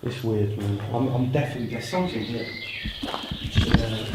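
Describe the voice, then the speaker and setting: A man's voice: mid-range voice, moderate pace. A man hosting a paranormal investigation vlog, talking casually as he explores. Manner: addresses viewers and calls out questions to spirits. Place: old stone tower and muddy surroundings. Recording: noisy handheld camera audio with rustling.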